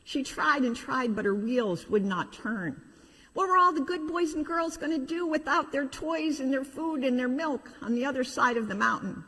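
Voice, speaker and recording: A middle-aged woman, a pastor telling a children's story in an animated way with informal asides. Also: sits high, normal speed. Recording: reverberant hall.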